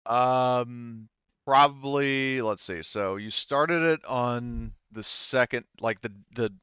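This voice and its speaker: A man casually running a tabletop roleplaying game as game master, halting and thinking aloud as he goes. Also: mid-range voice, fairly bright tone, smooth, no room sound, lo-fi sound.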